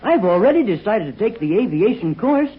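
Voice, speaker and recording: An actor playing an excitable young Mexican boy with a Mexican Spanish accent and broken English, in 1940s radio acting style. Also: a little fast, husky, full character voice. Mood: eager. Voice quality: high, lively, broken English. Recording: tinny old radio broadcast.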